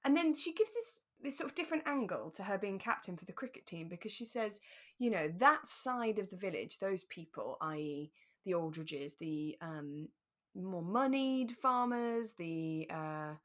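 A British woman co-hosting a relaxed chat podcast, telling stories with acted-out asides. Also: mid-range voice, normal speed. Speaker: podcast co-host. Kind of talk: relaxed chat about a radio drama.

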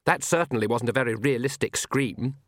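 A man's voice: medium pitch, brisk, a little hiss or hum, close to an everyday voice. A man voicing a sardonic young female vampire from a storybook, haughty and disdainful in manner. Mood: scornful.

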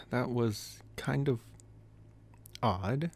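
A man playing a possessive, teasing young college vampire in an ASMR roleplay, speaking softly and intimately, with hesitant phrasing, sighs and chuckles. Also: mid-range voice, drawn-out words, breathy edge, exaggerated voice. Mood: musing.

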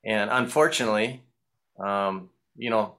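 Bright-voiced man with a Michigan accent. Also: on the low side, steady tone, unhurried. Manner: rambling, chuckling, loose storyteller. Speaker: guest musician. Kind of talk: casual interview chat.

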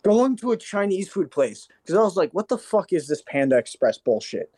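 A man's voice: sits high, sing-song, moderate pace, mellow, dry recording. A man from Serbia chatting casually and jokingly as a podcast co-host, with crude, sweary talk. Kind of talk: casual joking group chat.

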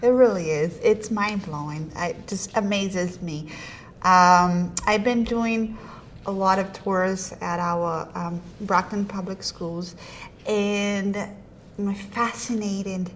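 A woman's voice: medium pitch, fairly even intonation, slow, slight background noise. A woman whose first language is Portuguese, a state representative hosting a casual conversation about education bills, talking animatedly with shifting pace.

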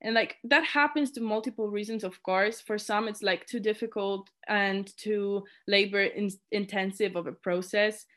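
A woman's voice: mid-range voice, steady tone, normal speed, hardly any pauses, fairly bright tone. A young woman from Poland, a native Polish speaker, chatting casually as a committee chair in an interview and filling her talk with "like" and restarts. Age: young adult. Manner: chatty, many "like" fillers and restarts.

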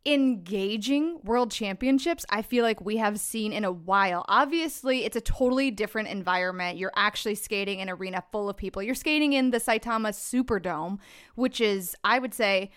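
A woman's voice: medium pitch, a little fast, a bit bright, smooth. A woman co-hosting a podcast, chatting in a bubbly, sassy way and telling stories. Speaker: podcast co-host. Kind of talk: casual chat.

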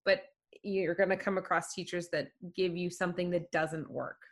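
A woman's voice: lowish voice, steady tone, normal speed. A woman hosting a podcast, explaining things and giving advice in a casual chat. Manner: advising, explanatory. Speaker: podcast host.

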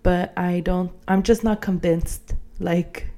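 A woman's voice: on the low side, steady tone, slow, dark tone. A woman co-hosting a podcast in casual personal chat, thinking aloud in a hesitant, conversational way. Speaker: podcast co-host.

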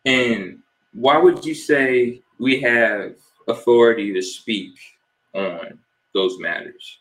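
A young man from New Jersey co-hosting a casual podcast, relaxed and easygoing as he gives shout-outs and asks questions. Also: mid-range voice, takes it slowly, poor audio. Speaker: podcast co-host.

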